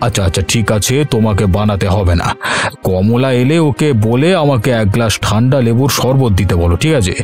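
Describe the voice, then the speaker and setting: A man's voice: on the low side, fairly quick, darkish tone, soft background music, natural voice. A man voicing an idle, demanding yet placating household husband in a cartoon, with a coaxing, theatrical manner. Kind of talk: Bengali children's cartoon dialogue.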